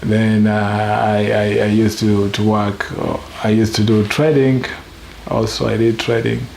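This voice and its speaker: Gravelly low-voiced man from Zanzibar. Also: little pitch movement, a little mellow. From Zanzibar, Tanzania. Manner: halting, repeats words while searching for English. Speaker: interviewee. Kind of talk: documentary interview. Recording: echoey room with faint background noise.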